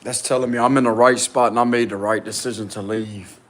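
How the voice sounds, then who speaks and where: A man vlogging casually and insistently from a small rented room, with a deep, loud voice. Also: a little fast. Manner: excited, insistent, slangy. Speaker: spiritual vlogger. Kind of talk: casual vlog to camera.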